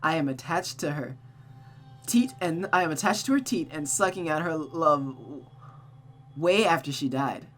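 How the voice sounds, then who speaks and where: A woman's voice: deep voice, lively intonation, normal speed, soft background music. A woman voicing a seductive, taunting, unhinged female creature of vengeance and desire from a visual novel, in a mocking, cooing, theatrical manner. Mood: exalted, possessive. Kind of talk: visual novel character read-aloud.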